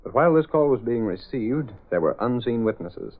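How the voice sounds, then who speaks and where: An actor playing the narrator of an old radio serial, setting scenes in sober scripted prose. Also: male voice, medium pitch, expressive, normal speed, natural voice. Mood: measured. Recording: muffled old radio, faint music.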